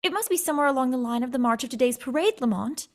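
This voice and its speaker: A woman's voice: high voice, animated, rapid-fire. A woman playing an anxious, loyal lady companion to a sleuth in 1930s radio drama style, earnest and questioning. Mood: anxious, curious.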